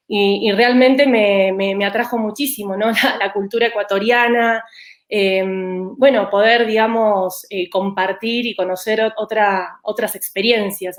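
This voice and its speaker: An Argentine woman with a rioplatense accent speaks as a guest academic, at a middle pitch. She answers thoughtfully, restarting phrases and filling pauses with "eh". The room around her adds a noticeable echo. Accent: Argentine Spanish (rioplatense). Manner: thoughtful, with hesitant restarts and "eh" fillers. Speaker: political scientist guest. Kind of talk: interview answers.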